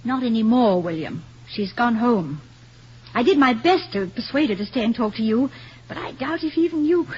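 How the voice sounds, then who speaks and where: An actress in an old-time radio comedy-drama playing the warm, witty wife of a college president, affectionate and gently arch. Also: female voice, fairly high pitch, animated, normal speed, husky. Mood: troubled, gentle. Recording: muffled, noisy old radio recording.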